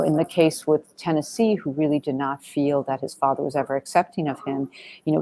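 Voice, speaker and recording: A woman's voice: low-pitched, gravelly, darkish tone, poor audio. A woman speaking as a film director in an interview, answering conversationally in a discursive, emphatic way and often rephrasing as she goes.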